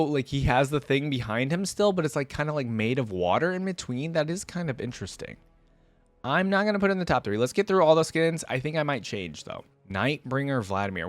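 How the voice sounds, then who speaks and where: A man's voice: mid-range voice, no echo. A man hosting a reaction video with casual, informal commentary, musing aloud into a close microphone.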